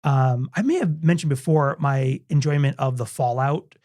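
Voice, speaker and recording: A man's voice: mid-range voice, normal speed, warm tone, no room sound, clean studio sound. A man co-hosting a podcast in casual chat, laid-back and informal, talking in loose stop-start phrases. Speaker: podcast co-host; university faculty member.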